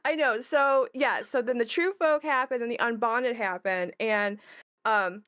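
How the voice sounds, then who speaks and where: A woman's voice: on the high side, a little slow, fairly bright tone, smooth. A woman who works as a game designer, talking conversationally about how a game was made.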